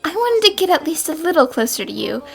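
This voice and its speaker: A woman's voice: extremely high pitch, very animated, fast, gravelly, fairly bright tone, exaggerated voice. A woman voicing a shy, self-deprecating, remorseful young countrywoman in an anime dub. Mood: wistful, confessing. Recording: background music under the dialogue.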